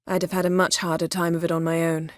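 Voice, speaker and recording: Woman acting a low-voiced, imperious sorceress. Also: flat delivery, fast talker, no echo, close to an everyday voice. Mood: brisk, polite. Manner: imperious, dry, sardonic, formal diction. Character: haughty, sharp-tongued, commanding sorceress in a fantasy world. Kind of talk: fantasy video game voice acting.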